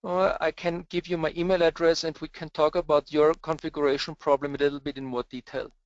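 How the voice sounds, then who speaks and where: A man's voice: a little high, level tone, a little fast, no room sound. A man with an Austrian German accent, answering webinar questions as a presenter, hesitant with many filler sounds. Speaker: presenter, cluster architect. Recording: dull lo-fi webinar audio, dry.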